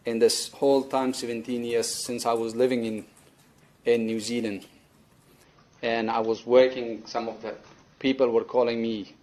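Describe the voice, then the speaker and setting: A man from Afghanistan with an Afghan accent giving a courtroom statement, earnest and halting but firm and resolute. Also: mid-range voice, darkish tone. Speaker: attack survivor giving a victim statement. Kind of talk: courtroom statement, partly spontaneous.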